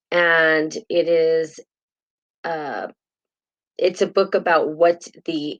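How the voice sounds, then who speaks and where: Low-voiced woman hosting a chatty live stream. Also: muffled recording. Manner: rambling and chatty, greets viewers by name. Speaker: host of a live spiritual talk show. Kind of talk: casual spiritual teaching, live stream. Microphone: built-in device mic instead of her usual mic.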